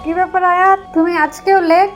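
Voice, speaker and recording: A woman's voice: extremely high pitch, flat delivery, quick pace. A stern cartoon schoolteacher acted by a woman. She scolds with firm authority over light string music. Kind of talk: children's comedy cartoon acting.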